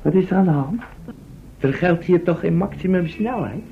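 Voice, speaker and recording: An actor playing a chatty, cheeky and excitable manservant and driver in a Dutch radio play. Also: male voice, high voice, moderate pace, phone-quality sound. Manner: excitable, questioning. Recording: thin old radio recording, clear noise.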